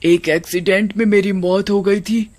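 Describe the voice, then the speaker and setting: A man voicing a kind, gentle female witch ghost in an animated story. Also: sits high, normal speed, lightly acted. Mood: helpless, sorrowful. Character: kind female witch ghost, grateful then helpless mother.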